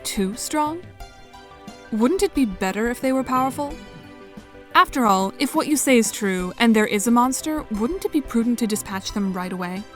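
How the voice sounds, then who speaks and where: A self-assured girl in an audio drama, played by a woman, with a fairly high voice. She is dry and a little stern, choosing formal, precise words over faint background music. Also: expressive, normal speed, a bit bright. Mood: reasoning.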